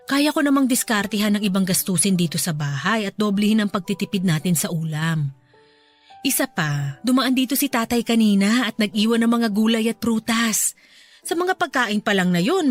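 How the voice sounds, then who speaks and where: Brief: actress as a soft-spoken loving middle-aged wife, soothing, light music underneath. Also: female voice, mid-range voice, natural voice. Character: gentle devoted wife, middle-aged farmer's spouse. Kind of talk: radio drama acting. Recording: music bed behind voice.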